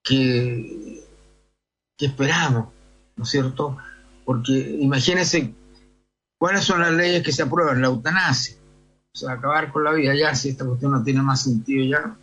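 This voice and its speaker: An older Chilean man with a husky, rough-edged voice speaks as a priest being interviewed. He talks discursively, checking for agreement as he goes. The recording is a little dull and lo-fi. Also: medium pitch, fairly even intonation, moderate pace, fairly bright tone. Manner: discursive, often seeks agreement with tag questions. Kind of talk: conversational interview answers.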